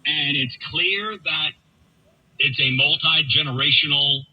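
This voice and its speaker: A man's voice: a little high, measured pace, slightly rough, lo-fi sound. A man hosting talk radio, giving an opinionated, emphatic monologue and repeating phrases for effect. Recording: radio broadcast with faint background noise.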